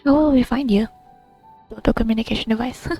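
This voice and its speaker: A woman's voice: a little high, fairly even intonation, a little fast, warm tone. A woman giving casual, giggly, offhand commentary while playing a video game. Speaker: gamer recording her playthrough. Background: faint game music.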